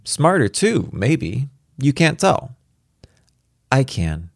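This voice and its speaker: A man's voice: mid-range voice, sing-song, takes it slowly, vocal fry, husky, no echo, clean studio sound, voice pushed up, exaggerated voice. A man voicing a precocious four-year-old girl in a storybook reading, matter-of-fact, patient and superior. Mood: confiding, assured.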